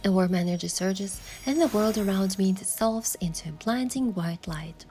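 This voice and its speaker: A woman plays a young romance-game heroine, sincere and tender with a playful side. She tells her story in first person, soft and measured, at a middle pitch, with expressive delivery and music faintly behind her. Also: lightly acted. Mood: reflective narration. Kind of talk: scripted first-person story narration and dialogue.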